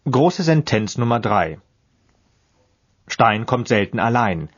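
A man's voice: medium pitch, very animated, slow, husky, highs cut off. A man reading an experimental radio piece with a dry, declamatory manner and sudden shifts in tone.

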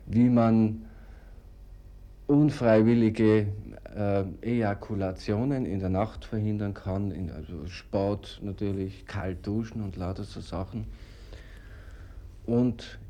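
Older man, mellow and wry. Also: lowish voice, slow. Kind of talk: personal anecdote in an interview.

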